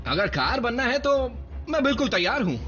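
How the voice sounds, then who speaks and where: High-pitched, cocky young robot. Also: male voice, big pitch swings, fairly quick, bright, forceful tone, lo-fi sound, soft background music, exaggerated voice. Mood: eager. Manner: eager, cheeky. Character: cocky, eager young male robot rookie. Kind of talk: Hindi cartoon dub.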